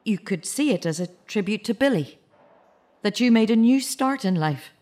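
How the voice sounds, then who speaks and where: A woman acting a bereaved, searching mother in an audio drama, naturalistic and halting, with anxious care. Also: medium pitch, lively intonation, a little mellow, lightly acted. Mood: reflective, forgiving.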